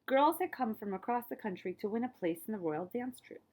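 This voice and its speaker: A woman reading a children's story aloud as a librarian, with expressive emphasis and phrases that often fall hushed. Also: on the high side, fairly quick.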